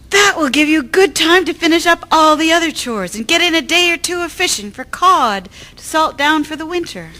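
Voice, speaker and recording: Woman acting as brisk, high-voiced farm wife. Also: expressive, sharp tone, full character voice. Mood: brisk, homely. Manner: brisk, wry, homely. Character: brisk, no-nonsense farm wife, gently scolding. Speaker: amateur voice actor, storyteller. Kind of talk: historical radio drama acting.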